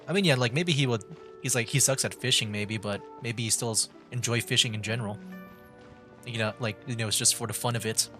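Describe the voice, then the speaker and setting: A man's voice: mid-range voice, fairly quick, sharp tone, soft background music. A man giving casual live game commentary as a streamer, rambling as he thinks aloud.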